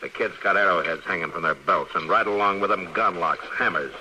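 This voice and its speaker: An actor playing a stern, weary middle-aged US Marshal of the Old West in a 1950s American radio western, speaking gravely and bluntly with an American accent. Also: male voice, low voice, fairly quick, sharp tone. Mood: grim, accusing. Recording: noisy vintage radio recording.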